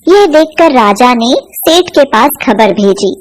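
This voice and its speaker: Female storyteller for animated fables; high pitch, slow scripted delivery. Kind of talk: scripted folk-tale narration for cartoon.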